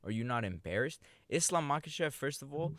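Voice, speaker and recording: A man's voice: medium pitch, lively intonation, a little fast, no room sound, studio quality. A man co-hosting a sports podcast, ranting heatedly and swearing. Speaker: podcast co-host. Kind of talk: casual sports chat.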